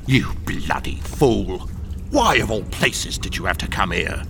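A man's voice: low-pitched, very animated, a little slow, creaky, noisy background, full character voice. Echoing, domineering criminal captain played by a man. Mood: furious. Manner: emphatic, scolding. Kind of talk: radio thriller acting.

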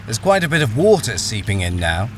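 Deep-voiced, guarded miner played by a man. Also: very animated, brisk, a little hiss or hum. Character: wary, experienced male miner, blackmailed, narrating his ordeal. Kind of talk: radio crime thriller acting and first-person narration.

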